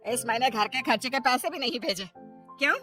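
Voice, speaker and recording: A woman's voice: a little high, lively intonation, a little fast, a bit bright, faint music behind. A woman voicing a scheming older mother-in-law in a cartoon family story, bossy and scolding. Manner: scolding, domineering.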